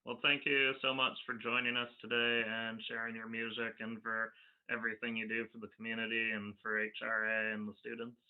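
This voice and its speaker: A man's voice: fairly low pitch, completely flat delivery, fairly quick. A man hosting informally in a casual, appreciative way over a video call. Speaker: show host, music technology facilitator. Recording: thin phone-like sound.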